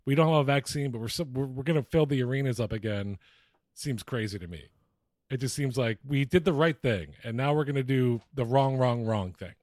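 An American man with an average-pitched voice, talking casually as a podcast co-host. He is informal and swears freely. The sound is dry, with no room echo. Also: fairly quick, a little mellow. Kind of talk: casual banter and sports chat.

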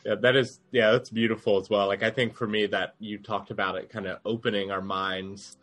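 Bright-voiced male podcast co-host. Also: fairly low pitch, level tone, a little fast, faint music behind. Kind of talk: casual podcast conversation.

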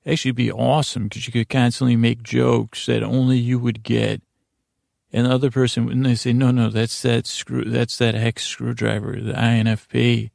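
A man hosting a podcast with a rambling, improvised monologue, halting and often interrupting himself, in a creaky, gravelly voice. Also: mid-range voice, level tone, darkish tone, no echo, clean studio sound. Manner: meandering, halting, self-interrupting. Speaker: podcast host.

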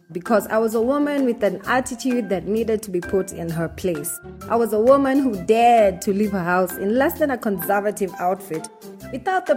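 A woman's voice: mid-range voice, a little mellow. Woman reading a first-person essay.

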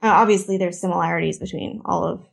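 A woman's voice: lowish voice, steady tone, fast, gravelly. A woman with a Canadian accent hosting a podcast discussion, chatty and prone to long tangents. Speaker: podcast host.

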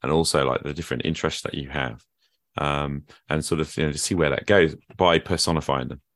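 A man hosting a podcast conversation, thinking out loud in a loose, musing way with plenty of ums. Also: a bass voice, steady tone, a little fast, dry recording. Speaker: podcast host. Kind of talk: casual conversation.

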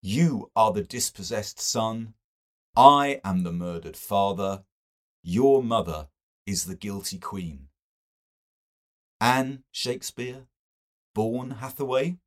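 A man reader plays an earnest young man of letters in a literary novel. He argues theatrically and declaims at a slow pace, in a fairly low register. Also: a bit bright. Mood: insistent. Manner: declamatory, rhetorical. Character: earnest, brash young male intellectual, argumentative and theatrical.

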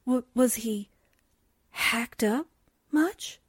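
A woman playing a naive, excitable newspaper assistant in a 1940s-style radio drama, hesitant and wide-eyed in delivery. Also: fairly high pitch, drawn-out words, slightly rough, no room sound, clean studio sound, heavily acted. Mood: nervous. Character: excitable, naive, movie-struck female reporter's assistant.

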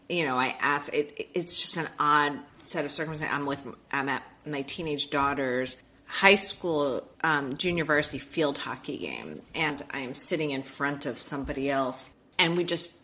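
A middle-aged woman from Miami, a guest author telling family stories in a chatty, animated way. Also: low voice, moderate pace. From Miami, Florida. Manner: chatty, animated, acts out quoted dialogue with restarts. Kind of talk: casual storytelling interview.

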